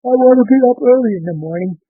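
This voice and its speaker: Flustered gullible husband, very high swooping voice, stammering, evasive, sleepy, 1940s radio comedy, muffled old recording. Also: male voice, animated, fast talker, breathy edge, no echo, faint music behind, exaggerated voice. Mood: weary, evasive. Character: flustered, sleepy, gullible young husband and father hiding a blunder.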